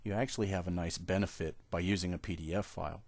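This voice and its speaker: A man explaining software steps one at a time in a screen-recorded tutorial, speaking at his computer. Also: sits low, fast, creaky, no room sound. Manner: steady step-by-step explaining. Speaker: software trainer.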